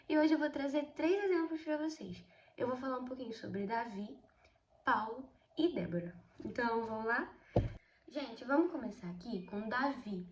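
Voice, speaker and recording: A sixteen-year-old girl with a Brazilian accent and a somewhat high voice talks through a casual Bible study vlog. A cold has left her slightly hoarse, and she keeps calling out to viewers while telling stories with lively emphasis. The room sounds echoey, with faint noise underneath. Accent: Brazilian Portuguese. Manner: chatty, keeps addressing viewers, emphatic storytelling. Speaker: video host.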